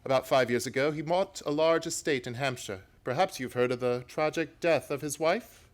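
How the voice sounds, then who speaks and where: An actor playing a self-assured gentleman detective in an old-time radio play, formal, articulate and wry. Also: on the high side, moderate pace, lightly acted. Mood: brisk, explanatory.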